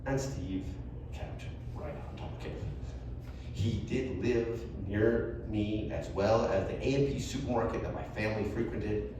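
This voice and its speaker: Guy reading a funny story live, animated and chatty, mic far off, noisy roomy venue. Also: lowish voice, a little slow. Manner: animated, conversational comic delivery. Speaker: reader of a personal story at a live storytelling event. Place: live event venue, roomy indoor space. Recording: echoey room, distant mic, background noise.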